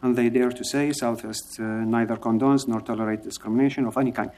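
A man who grew up in Iraq, giving earnest, halting testimony to a city council, with many pauses and filler sounds. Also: mid-range voice, steady tone, dark tone. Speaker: public testimony speaker. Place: council chamber.

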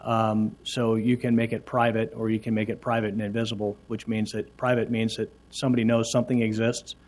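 A man's voice: lowish voice, little pitch movement, darkish tone. A man, a city official, explaining a records system to a council with many fillers and restarts. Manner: halting, hesitant explanations. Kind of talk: answering council questions.

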